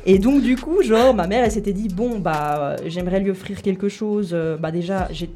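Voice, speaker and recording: A woman's voice: mid-range voice, normal speed, mellow. A woman in her twenties, born in Italy and raised in Switzerland, chatting casually at a radio round table and filling her talk with hesitations. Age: 20s. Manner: chatty, self-deprecating, many fillers. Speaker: radio show columnist. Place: radio studio.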